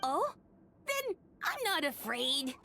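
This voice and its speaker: High-voiced innocent little dragon boy. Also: sing-song, slow pace, pushed and bright, slight background noise, exaggerated voice. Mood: eager. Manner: childlike, earnest. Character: small young male dragon, innocent, shy, earnest. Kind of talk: video game voice acting.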